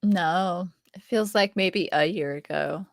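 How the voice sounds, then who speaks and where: A woman's voice: fairly low pitch, takes it slowly, gravelly, no room sound, clean studio sound. A woman in her late thirties chatting casually as a co-host on a live stream, laid-back and wry, often in brief remarks. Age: late 30s. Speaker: writer co-hosting a live stream. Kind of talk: casual chat.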